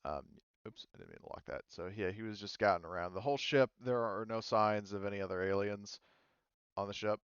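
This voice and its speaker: Low smooth guy gm-ing, rambles lots, kinda muffled dry mic. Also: fairly quick, dry recording. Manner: rambling, many fillers and restarts. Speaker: game master. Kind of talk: narrating a tabletop game, casual.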